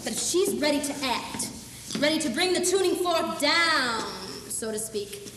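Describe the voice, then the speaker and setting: A woman's voice: sits high, expressive, a little slow, crowd noise. A teenage girl in a school play, acting a hardboiled female private eye with dry, clipped noir patter. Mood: wry. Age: teens. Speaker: student actor. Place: high school stage. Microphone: distant camera. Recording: echoey school auditorium from far off, noisy.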